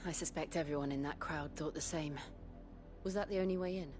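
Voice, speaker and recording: Low-voiced, composed woman acted, game companion. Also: fairly even intonation, fairly quick, over music, close to an everyday voice. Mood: cautious. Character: cautious, thoughtful woman travelling companion. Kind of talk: video game cutscene acting.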